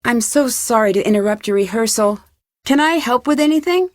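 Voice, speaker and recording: A curious young woman detective from a video game, played by an actress. Her voice sits a little high and is recorded very dry. She is polite and articulate, always probing with questions. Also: animated, a little fast, dry recording, lightly acted. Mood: inquisitive, polite.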